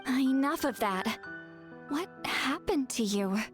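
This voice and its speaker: A woman's voice: sits high, animated, slow pace. A woman voicing a composed young shaman in a video game, explaining things in a knowing, matter-of-fact way. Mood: sober, concerned. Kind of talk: English video game voice acting. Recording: music behind the voice.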